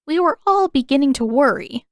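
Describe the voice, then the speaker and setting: A woman voicing a small, sharp-eyed old village woman who is motherly, fussing and bossy, in a story. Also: high voice, lively intonation, fairly quick, no room sound, clean studio sound, pitched above the performer's own voice. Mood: relieved, fussing.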